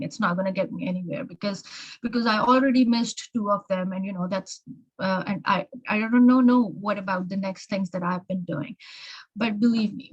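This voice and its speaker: A woman's voice: mid-range voice, lo-fi sound. A woman with an American-influenced accent, an exam prep instructor giving informal tips in a chatty way, often repeating words and restarting. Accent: learned American English.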